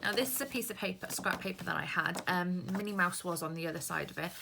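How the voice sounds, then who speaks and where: A woman with a Welsh accent talks in a low, easygoing voice, rambling as she walks through her craft project. Paper crinkles and rustles loudly near the mic the whole time. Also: fast, gravelly. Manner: rambling, chatty, talks while handling papers. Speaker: craft vlogger. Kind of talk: casual chatty crafting tutorial. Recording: paper crinkling noise close by.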